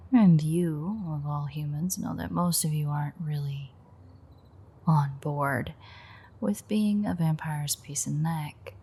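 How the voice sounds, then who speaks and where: A husky, breathy vampire woman played by a voice actress speaks low and unhurried in an intimate audio roleplay. Her delivery is wry and teasing, with a dark predatory edge and a gravelly creak at the bottom of the voice. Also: heavily acted. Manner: wry, foul-mouthed, teasing.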